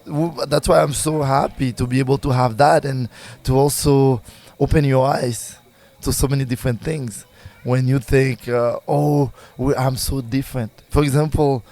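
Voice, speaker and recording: A man's voice: medium pitch, fairly even intonation, moderate pace, a little mellow. A man from Haiti with a Haitian accent, speaking as a musician in a casual interview, searching for his words as he goes. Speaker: musician, singer and bandleader being interviewed.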